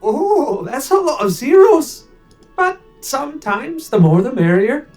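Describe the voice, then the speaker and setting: High, breezy wisecracker. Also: female voice, sing-song, a little slow, a little mellow, soft background music. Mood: breezy optimism.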